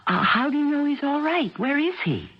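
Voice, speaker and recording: A woman playing an anxious yet resolute middle-aged mother in a radio mystery drama, with a husky, theatrical delivery. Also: sits high, normal speed. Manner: expressive, theatrical. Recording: dull old radio recording.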